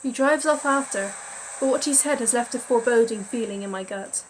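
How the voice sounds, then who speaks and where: Woman, expressive narration, fairly high pitch, chatty asides. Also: fairly quick, a little hiss or hum, rough recording. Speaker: reader and narrator. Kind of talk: narrating a visual novel with inner monologue.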